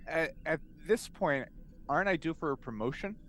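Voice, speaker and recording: A man's voice: a little high, animated, normal speed, fairly bright tone, slight background noise. A man, playing a tabletop game and chatting casually, thinking aloud in a hesitant, joking way. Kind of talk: casual improvised game chat.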